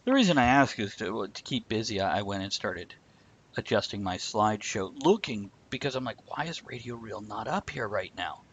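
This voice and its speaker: A man hosting a live-streamed talk show, jokey and laughing often, with a husky voice. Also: fairly low pitch, animated, a little fast, a bit bright, highs cut off. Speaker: host. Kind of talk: casual chat.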